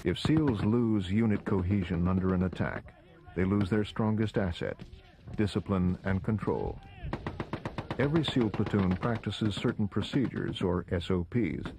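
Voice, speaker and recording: Low-voiced male documentary narrator over gunfire. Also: expressive, measured pace, mellow. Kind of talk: scripted narration. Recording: gunfire behind narration, noisy copy. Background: gunfire and battle noise.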